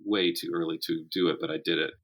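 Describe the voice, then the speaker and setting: An American man in his fifties answering interview questions conversationally, thinking aloud in a candid, animated way. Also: sits low, fast, breathy edge. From South Carolina, United States. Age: 50s. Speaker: interview guest, horror writer and teacher.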